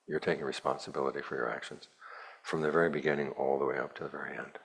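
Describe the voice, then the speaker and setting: A man, a Buddhist monk, giving a plainspoken, measured dharma talk to a retreat group with a dry, wry touch. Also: rumbling low, flat delivery, vocal fry, slightly rough.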